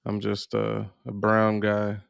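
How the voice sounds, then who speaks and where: A man hosting a podcast in casual discussion, halting as he thinks aloud. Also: fairly low pitch, completely flat delivery, creaky, clean tone, no room sound, studio quality. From United States. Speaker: podcast host.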